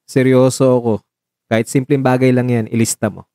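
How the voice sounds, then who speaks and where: A man's voice: medium pitch, flat delivery, brisk, mellow, dry recording, clean studio sound, natural voice. A man with a Filipino accent acting a devoted, protective young man in a radio drama, reassuring his lover with affectionate mock scolding. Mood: playful, earnest.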